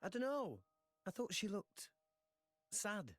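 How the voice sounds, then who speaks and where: A man's voice: high voice, big pitch swings, slow pace, dry recording, full character voice. Earnest teenage boy hero over music. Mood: flustered. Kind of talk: video game cutscene voice acting. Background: orchestral music.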